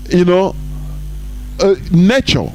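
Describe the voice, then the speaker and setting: A man's voice: very animated, slow pace, darkish tone, slight background noise, rough recording. Emphatic male live-stream host. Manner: emphatic, rises high on points, drops low for asides. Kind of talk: live online broadcast monologue.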